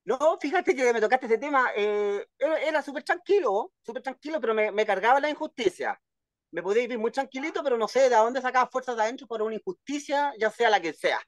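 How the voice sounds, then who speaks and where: A man's voice: very high-pitched, lively intonation, quick pace, no room sound, clean studio sound. A man in his fifties with a Chilean accent, telling stories casually as an interview guest, often repeating words. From Valparaíso, Chile. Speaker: guest, poet. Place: at home, video call.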